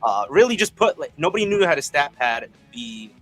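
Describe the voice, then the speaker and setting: A man's voice: a little high, sing-song, brisk, fairly bright tone, dry recording, soft background music. A man on a sports panel who talks casually and repeats phrases as he builds his point.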